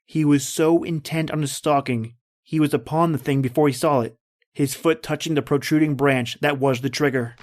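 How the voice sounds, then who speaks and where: An actor playing a tense, determined hunted man, narrating his ordeal gravely and deliberately as in an old-time radio drama. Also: fairly high pitch, steady tone, close to an everyday voice. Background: background music.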